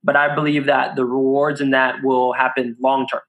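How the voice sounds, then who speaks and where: A middle-aged man from Brazil, a guest on a casual podcast, speaking in an earnest, deliberate way about his music blog. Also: mid-range voice, level tone, a bit bright, rough recording. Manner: earnest, deliberate, acts out quotes in a raised voice.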